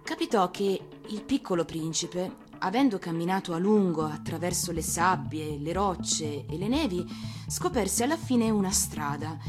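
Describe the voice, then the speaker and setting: A woman reading a children's tale as an actor, in expressive scripted storybook narration. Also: lowish voice, a little slow. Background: music.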